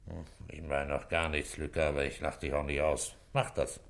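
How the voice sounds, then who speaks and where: Deep-voiced, commanding police commissioner. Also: male voice, level tone, moderate pace, creaky. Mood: brisk, commanding. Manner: terse, questioning, commanding. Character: calm, authoritative male Paris police commissioner, gruff and probing. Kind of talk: radio play acting.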